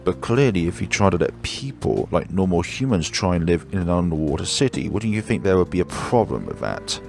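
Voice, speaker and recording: A bold, well-mannered young lady speaks in a formal, lively way, as a man reads her lines in a visual novel. The voice is low-set and gravelly, with game music playing behind. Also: a little fast. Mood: curious. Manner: polite, formal reading.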